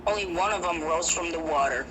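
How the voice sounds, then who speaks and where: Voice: medium pitch, fairly quick. A narrator telling a first-person horror story, hesitant and prone to restarts. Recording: noisy recording.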